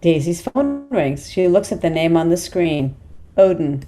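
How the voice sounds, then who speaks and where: A woman's voice: lowish voice, animated, unhurried, dark tone, slight background noise. A woman reading script directions in a plain, matter-of-fact way at a table read. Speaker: stage directions reader.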